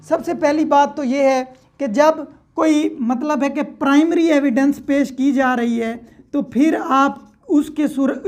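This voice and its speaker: A woman's voice: sits high, darkish tone. A woman with a Pakistani accent giving an explanatory law lecture, repeating and rephrasing her points in a didactic way. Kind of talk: explanatory lecture mixing Urdu and English legal terms.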